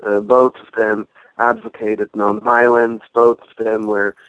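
A man in his forties with a Polish accent and a fairly low voice. He speaks as a guest, reflective and measured, pausing often. The sound is dry and slightly muffled. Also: flat delivery, dry recording. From Poland. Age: 40s. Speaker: podcast guest, activist and author. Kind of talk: reflective personal storytelling in interview.

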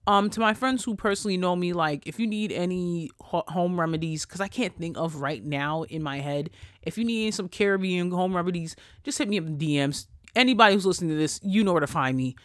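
A man with a high voice hosts a casual solo podcast, talking into a close mic in a dry, deadened space. He rants in an animated, profane way, swinging between loud bursts and quiet asides. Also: fairly quick, no echo. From Haitian family. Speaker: podcast host.

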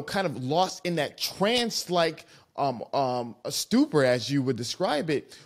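A young man hosting a podcast, talking in an earnest, reflective way and building his points at length. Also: on the high side. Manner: earnest, reflective, long-winded. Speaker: podcast host. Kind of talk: casual podcast conversation.

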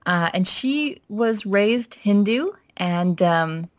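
A woman from Minnesota as a podcast guest, telling her family story in a reflective, steady way with frequent "you know" fillers. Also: mid-range voice, slow pace, dry recording. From Minnesota, United States. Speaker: pastor's wife and guest. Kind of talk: podcast interview, personal story.

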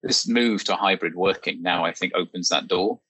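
A man hosting a podcast, talking thoughtfully in a relaxed, conversational way and asking his guest open questions. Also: low voice, normal speed, husky, no room sound. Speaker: podcast host. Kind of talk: casual conversational discussion.

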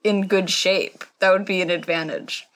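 A woman in her twenties co-hosting a podcast, chatting casually with dry, deadpan humor. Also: on the low side, moderate pace. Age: 20s. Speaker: podcast co-host. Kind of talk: casual chat.

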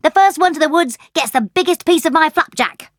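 Storybook heroine played by a woman: young girl, raised pitch, emphatic, cocky and in charge. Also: a little fast, dry recording, clean studio sound, lightly acted. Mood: eager, calling out. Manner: emphatic, challenging, confident. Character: Goldie, bold, bossy young fairy-tale girl ninja.

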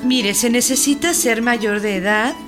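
A woman's voice: on the high side, measured pace, lightly acted. A woman with a Mexican accent playing a helpful, composed health hotline operator in a scripted radio drama, formal and explanatory. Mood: polite, informative. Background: guitar music.

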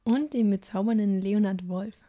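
A woman's voice: mid-range voice, moderate pace, muffled recording. A young woman co-hosting a political podcast in casual chat, hesitant, with frequent filler sounds and restarts. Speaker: podcast co-host.

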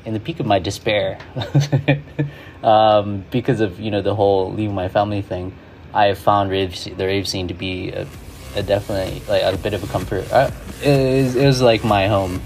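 A man's voice: on the low side, steady tone, moderate pace, a little mellow, soft background music, low-quality recording. A man, a guest in an interview, reflecting in a loose way full of "like" and "you know". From Hawaii.

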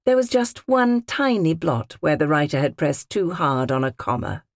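A woman with a British accent reading literary fiction as an audiobook narrator, measured and carefully phrased. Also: low-pitched, expressive, dry recording, studio quality. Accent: British English. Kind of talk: scripted literary narration in character.

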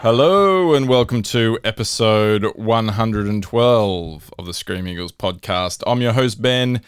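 A man hosts a casual podcast, ribbing his co-host with a jokey, teasing manner. His voice sits low and comes across smooth and resonant. The sound is dry and close, with no room around it. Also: lively intonation, measured pace, no room sound.

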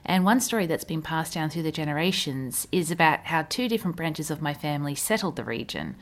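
Low-pitched Australian woman narrator. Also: expressive, a little fast. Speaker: history video narrator. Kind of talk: scripted documentary storytelling narration. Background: background music.

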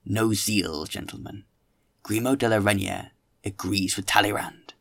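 A man's voice: lowish voice, fairly even intonation, measured pace, vocal fry, no room sound, clean studio sound. A man voicing a pompous, tipsy young orator who preaches grandly at a dinner in an audiobook. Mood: pompous admonishing.

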